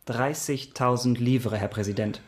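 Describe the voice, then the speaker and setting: A man's voice: mid-range voice, a little slow, close to an everyday voice. A man playing a dogged, correct police investigator in a radio play, speaking precisely, formally and with cool insistence. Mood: dry insistence. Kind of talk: German radio play acting.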